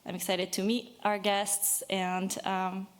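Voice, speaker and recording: A woman's voice: medium pitch, little pitch movement, takes it slowly. French woman from brittany, 30s, earnest and kinda nervous, lots of ums, talking to a city board. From Brittany, France. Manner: earnest, a bit nervous, many fillers. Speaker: business owner addressing a city board. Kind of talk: public comment at a meeting.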